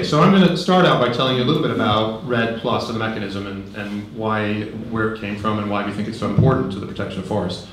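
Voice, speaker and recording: A man giving a relaxed conference talk, conversational, with frequent ums and light humor, into a handheld microphone. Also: on the low side, slight background noise. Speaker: conference speaker. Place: large conference hall. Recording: very echoey hall.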